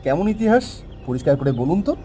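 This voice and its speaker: A wealthy city buyer in a cartoon tale, surprised and doubting at every turn, played by a man. His pitch leaps around a lot, yet the tone stays mellow. Soft music plays beneath. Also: sing-song, fast. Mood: skeptical. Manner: surprised, questioning, dismissive.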